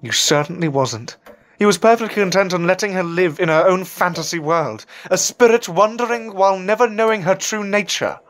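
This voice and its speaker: An actor playing an earnest Victorian gentleman warlock in an audio drama, formal and questioning. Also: high voice, fairly quick, soft background music. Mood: indignant.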